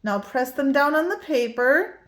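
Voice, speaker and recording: A woman's voice: high-pitched, animated, measured pace, a bit bright. A woman voicing a curious, eager schoolboy in a children's storybook, friendly in tone.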